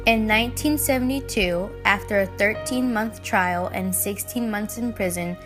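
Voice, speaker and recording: Woman reading documentary narration, measured and careful, faint music behind. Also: medium pitch. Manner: measured, read-aloud delivery.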